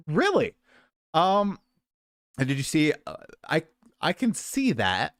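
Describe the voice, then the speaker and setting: A man's voice: a little high, wildly swooping pitch, takes it slowly, no echo, clean studio sound. A man in his late twenties hosting a casual livestream, chatty and self-deprecating, coming down with a cold. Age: 20s. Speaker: streamer host.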